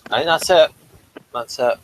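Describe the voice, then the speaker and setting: A man's voice: on the high side, moderate pace. A mild-mannered man hosting a casual, unscripted review chat, a little hesitant as he talks. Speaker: review show host. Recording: noisy room ambience.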